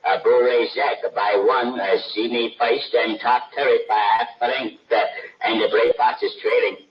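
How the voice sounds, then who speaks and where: An actor playing a horse race announcer in a 1950s radio drama, calling with clipped, urgent patter. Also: male voice, medium pitch, steady tone, moderate pace, dull audio, natural voice. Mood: brisk, announcing. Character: male horse race caller on a track broadcast. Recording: distant, echoey, noisy old radio broadcast.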